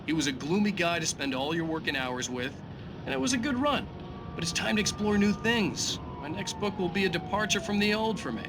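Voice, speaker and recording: A flippant celebrity novelist in a game cutscene, with a slightly high voice. He banters in a wry, glib way like a talk show guest, over loud noise. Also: lively intonation, fairly quick. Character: flippant, hot-tempered male bestselling novelist as TV guest.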